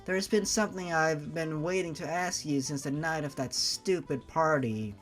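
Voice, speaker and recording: A man voicing a tender young man who comforts his girlfriend, speaking softly and slowly. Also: a little high, soft background music, lightly acted. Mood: gentle. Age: young adult. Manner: soft, slow and hushed.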